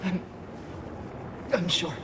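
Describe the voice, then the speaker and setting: Slow, echoing weary dying woman agent. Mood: despairing, pleading. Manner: halting, pleading, colloquial. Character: grievously wounded woman agent, weary, frightened, pleading. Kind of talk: cinematic video game acting. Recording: roomy echo, background ambient noise.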